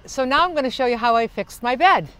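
A woman's voice: high voice, animated, quick pace, fairly bright tone, a little hiss or hum, low-quality recording. An older woman giving a casual, chatty how-to tour as a vlogger, explaining things as she works with her hands. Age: older, senior. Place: outdoors at the open side door of a camper van. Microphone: small clip-on lavalier mic.

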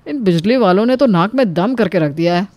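A woman voicing a weary, grumbling married man, the elder brother in an animated family story. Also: mid-range voice, brisk, no echo, voice pushed down, lightly acted.

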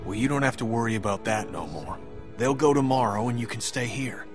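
An actor playing a stubborn, prickly middle-aged survivor in a dramatic video game, blunt, folksy and sarcastic. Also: male voice, mid-range voice, a little fast, over music, natural voice. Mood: wary, probing.

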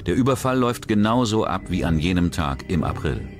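Deep-voiced man narrating over music. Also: big pitch swings, fairly quick. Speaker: documentary narrator. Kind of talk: scripted documentary narration.

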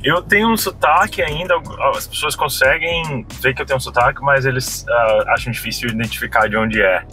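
A man's voice: mid-range voice, brisk, soft background music, poor audio. A Brazilian man in his thirties, a podcast guest, chatting casually and with animation inside a car. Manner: relaxed, slangy, animated storytelling. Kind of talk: casual chat. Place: parked car interior. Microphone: fixed dashboard camera.

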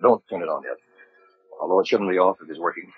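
An actor in an old-time radio drama playing a wary, commanding gentleman with a clipped, urgent delivery. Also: low voice, animated, quick pace, narrow, tinny audio. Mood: suspicious, urgent. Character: commanding, wary gentleman investigating strange events.

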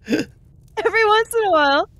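A woman's voice: extremely high pitch, expressive, moderate pace, a bit bright, no room sound. A woman playing a tabletop roleplaying game, chatting casually and describing what her character does.

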